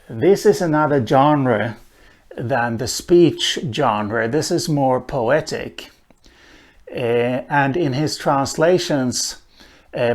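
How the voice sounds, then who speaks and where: Slow, thoughtful man with Swedish roots. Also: mid-range voice. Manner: slow and thoughtful, with many "uh" pauses. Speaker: language teacher. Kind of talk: unhurried spoken lesson on a language.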